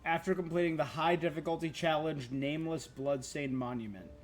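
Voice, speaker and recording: A man's voice: fairly high pitch, steady tone, a little fast, soft background music. A man doing casual live gaming commentary as a streamer, thinking aloud in short bursts. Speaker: game streamer.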